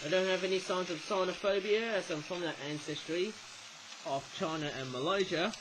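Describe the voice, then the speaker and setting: A young man with an Australian accent and a notably high voice, talking through a toy review in a loose, rambling way. He keeps tacking a questioning "eh?" onto his remarks as he goes. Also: moderate pace, noisy background. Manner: rambling, tags sentences with "eh?". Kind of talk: casual toy review monologue.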